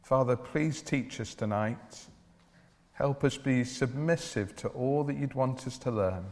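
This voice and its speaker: A man's voice: medium pitch, a little slow, darkish tone. Male preacher in a reverberant hall. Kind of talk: evangelical church sermon with Bible reading and prayer. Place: large church or hall, speaking to a congregation. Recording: reverberant hall, long echo.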